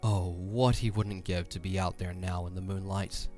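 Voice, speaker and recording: Male narrator, deep register, scripted dramatic fantasy storytelling over a music bed. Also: fairly even intonation, normal speed, darkish tone.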